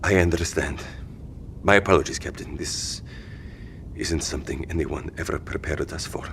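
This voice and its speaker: A man's voice: very deep voice, normal speed, creaky, background noise. A man playing an anxious, argumentative civilian father at his wit's end in an audio drama, speaking articulately. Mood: apologetic, subdued. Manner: pleading, articulate arguing.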